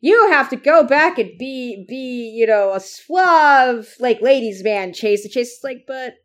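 A woman's voice: sits high, expressive, measured pace. A woman co-hosting a casual fan podcast, rambling through her points with lots of fillers. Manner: rambling, heavy use of "like" and "uh". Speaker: podcast co-host.

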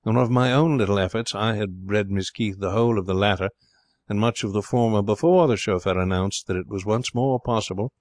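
A man's voice: on the low side, fairly quick, darkish tone, no echo, clean studio sound, lightly acted. A man voicing a self-satisfied poet in a story, holding forth in a pompous, flowery manner.

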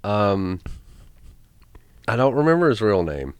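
A man's voice: lowish voice, sing-song, unhurried, clear, resonant tone, no room sound. A man, co-hosting a casual podcast with short, dry one-liners. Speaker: podcast co-host. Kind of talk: casual chat.

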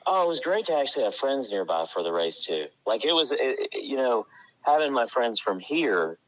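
A man's voice: medium pitch, big pitch swings, moderate pace, a bit bright, poor audio. A man, chatting as a guest and laughing often. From South Carolina, US. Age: 40s. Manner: friendly, laughs often, stammers on restarts. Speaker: guest, college science teacher. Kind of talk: casual interview chat.

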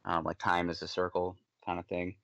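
A man's voice: sits low, monotone, moderate pace, vocal fry, a bit bright, dry recording. A man co-hosting a podcast who rants in casual chat and often stammers and restarts his words. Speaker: podcast co-host.

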